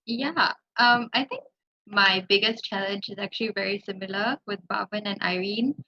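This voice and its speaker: A young woman who recently started as a graduate trainee, talking in a relaxed, conversational way from home on a video call. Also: medium pitch, moderate pace. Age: young adult. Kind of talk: casual panel talk. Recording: slightly muffled video call audio.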